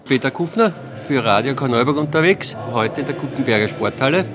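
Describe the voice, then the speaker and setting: A man's voice: medium pitch, expressive, fairly quick. A man working as a radio reporter, doing interviews on location at an event. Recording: noisy crowd and music behind. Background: event crowd and music.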